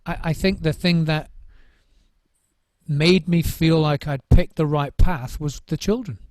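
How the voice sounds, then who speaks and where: A man's voice: on the high side, a little slow, darkish tone, no room sound. A middle-aged man, a drum circle trainer, giving thoughtful interview answers, often restarting and repeating words as he searches for what to say.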